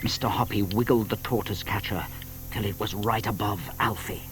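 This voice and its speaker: A man narrating a children's story from a script, with deliberate emphasis. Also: fairly low pitch, fairly even intonation, a bit bright, a little hiss or hum, low-quality recording.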